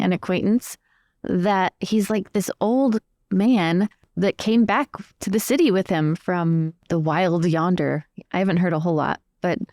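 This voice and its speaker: A woman's voice: lowish voice, a little mellow, no room sound, studio quality. A woman playing a tabletop roleplaying game, improvising in a casual, chatty way. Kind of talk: casual improvised in-character chat.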